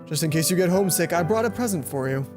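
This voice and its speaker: Woman playing tired loving dad, gentle, measured, earnest, visual novel character, music behind. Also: lowish voice, animated, natural voice. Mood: gentle, tender. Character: weary, gentle, caring father in a visual novel.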